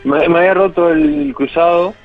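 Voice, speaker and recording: A man's voice: fairly high pitch, fairly even intonation, normal speed, soft background music. A man giving casual, plain-spoken answers as a footballer guest in an interview. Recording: dull lo-fi sound.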